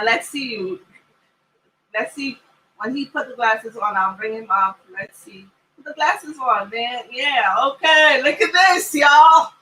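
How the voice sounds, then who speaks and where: A woman from Trinidad and Tobago with a Trinidadian accent, hosting an online talk show in a lively, teasing way. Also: high voice, recorded from a distance, rough recording. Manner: lively, laughing and teasing guests. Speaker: host.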